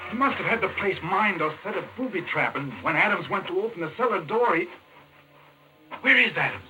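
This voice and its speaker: An actor playing an earnest, urgent doctor hero in a 1940s radio thriller, speaking in a brisk, intense, clipped way. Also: male voice, sits high, expressive, bright, forceful tone, soft background music, exaggerated voice, rough recording. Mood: alarmed. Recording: old radio broadcast, faint noise.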